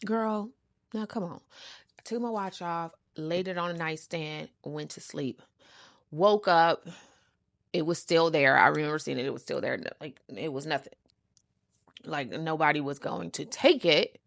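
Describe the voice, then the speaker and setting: Sassy lady doing a casual storytime, kinda low voice w lots of fry, emphatic and slangy. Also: a little slow, dry recording, studio quality. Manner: uses slang and swearing. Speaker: storytime podcast host.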